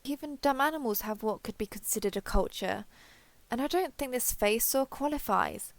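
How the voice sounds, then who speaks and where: Girl acting as female alien explorer doing a captains log monologue, wary n excitable, bit creaky, really dry close sound. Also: on the high side, normal speed, no echo. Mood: curious, frustrated. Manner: hesitant, thinking aloud, wry. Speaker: voice actor. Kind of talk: audio roleplay internal monologue.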